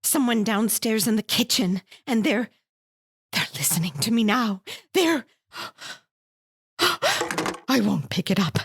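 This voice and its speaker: A hysterical rich invalid wife in a radio thriller, really high voice, bossy and fretful. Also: female voice, animated, slow pace, long pauses, breathy edge, exaggerated voice. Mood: frightened. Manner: demanding, fretful, melodramatic. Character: wealthy, imperious, hysterical invalid wife, alone and terrified. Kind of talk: suspense radio play acting.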